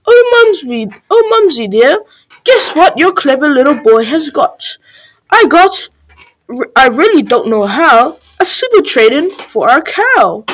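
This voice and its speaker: Little storybook boy, played by a woman: excitable, whining and exclaiming, very high-pitched. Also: measured pace, low-quality recording. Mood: proud, coaxing. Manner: breathless, exclaiming, dramatic. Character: excitable cheeky little English boy, whiny with his mum. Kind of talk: comic rhyming storybook reading.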